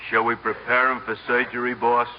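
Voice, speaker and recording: A man plays a sinister henchman called a surgeon in an old radio comedy. He is formal, deferential and ghoulishly deadpan. The broadcast is dull and noisy. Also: mid-range voice, brisk, natural voice. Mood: polite menace. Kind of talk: 1950s radio comedy acting.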